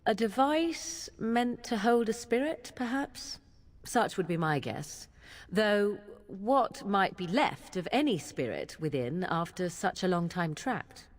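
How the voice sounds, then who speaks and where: An actress playing a pensive female companion in a video game, musing in a deliberate way. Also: medium pitch, very animated. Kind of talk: video game dialogue acting. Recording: game voice with long reverb.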